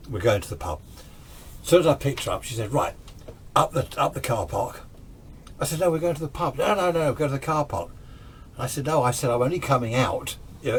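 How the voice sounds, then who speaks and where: A man's voice: fairly low pitch, slight background noise. A British man telling a personal story informally and conversationally, acting out what each person said. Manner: conversational, acts out reported dialogue.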